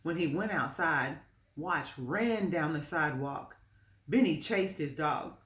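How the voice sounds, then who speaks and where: A woman reading a children's story aloud as narrator, in a measured, expressive storytelling manner. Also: low voice. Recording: roomy, echoing space.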